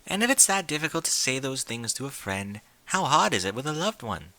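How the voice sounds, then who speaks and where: A man's voice: medium pitch, big pitch swings, brisk, bright, forceful tone, no echo, pitched above the performer's own voice. A man voicing a refined, caring female pony for a story, with genteel, formal diction and theatrical French flourishes. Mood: calm, gentle.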